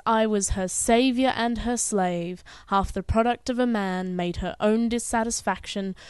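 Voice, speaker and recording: Clear-voiced young woman reciting poetry. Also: medium pitch, darkish tone, no room sound. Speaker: poet. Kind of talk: spoken-word poetry recital.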